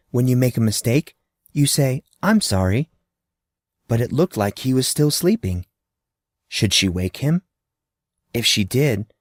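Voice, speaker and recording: A man's voice: medium pitch, darkish tone, dry recording, studio quality. A man narrating scripted fiction expressively, in a storybook manner that dramatizes a child's thoughts.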